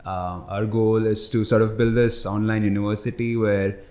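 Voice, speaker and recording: A man's voice: lowish voice, flat delivery, moderate pace, warm tone. A young man who grew up in India, a startup founder guesting on a podcast, telling loose reflective stories with lots of "like" and "you know". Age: young adult. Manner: reflective, loose storytelling with many fillers. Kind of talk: casual podcast conversation. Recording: roomy echo.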